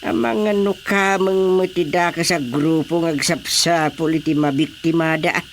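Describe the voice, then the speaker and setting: A woman's voice: low voice, fairly even intonation, measured pace, a little hiss or hum. A woman playing a talkative, teasing village character in a comedy radio drama, often exclaiming. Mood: concerned, chatty.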